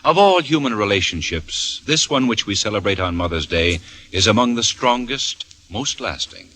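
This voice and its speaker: Grave, low-voiced man narrating. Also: animated, low-quality recording. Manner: grave, measured, sinking to a hush. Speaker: drama narrator. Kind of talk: solemn scripted narration, 1940s radio. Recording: old radio broadcast with faint hiss.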